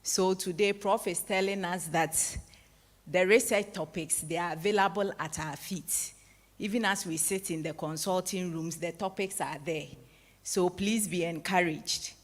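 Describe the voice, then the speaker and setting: A woman in her forties from Ghana, moderating a public lecture in a large hall, summing up the talk in a measured, formal way before opening the floor. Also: on the low side, fairly even intonation. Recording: echoey hall with long reverb.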